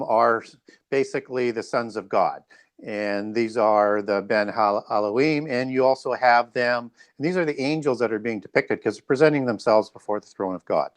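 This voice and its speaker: A man speaking as an interview guest, explaining in a scholarly but halting way and correcting himself as he goes. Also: fairly low pitch. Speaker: guest author.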